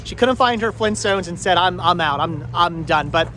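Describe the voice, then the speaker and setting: A man's voice: high-pitched, lively intonation, moderate pace, pushed and bright, a little hiss or hum. A man hosting a casual vlog, chatting in a rambling way and repeating words for emphasis. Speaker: vlogger host. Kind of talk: casual vlog chat to camera and friends.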